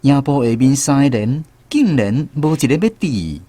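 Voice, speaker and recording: A man's voice: mid-range voice, sing-song, moderate pace, dark tone, husky, no echo. A man with a Taiwanese accent narrating a scripted first-person story for a radio drama. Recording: music behind the voice. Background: music.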